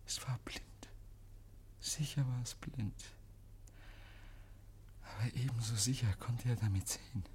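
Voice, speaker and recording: An actor playing a deranged, guilt-ridden murderer in 1950s German radio drama, halting and repeating himself, given to breathless whispers and shrieks. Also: male voice, mid-range voice, darkish tone, close to an everyday voice. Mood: haunted, insistent. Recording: noisy 1950s radio recording.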